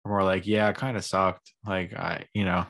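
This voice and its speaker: A man's voice: sits low, flat delivery, moderate pace, a bit bright. A man in a casual conversation, easygoing and laid-back as he tells a story. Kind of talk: casual chat.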